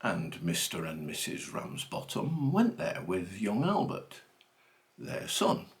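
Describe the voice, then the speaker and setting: Guy reciting funny poem, really slow n dramatic, voice goes up and down loads. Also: low voice, wildly swooping pitch. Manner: dramatic, deliberate phrasing with swooping emphasis. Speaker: reader of a comic monologue poem. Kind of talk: theatrical comic verse recitation.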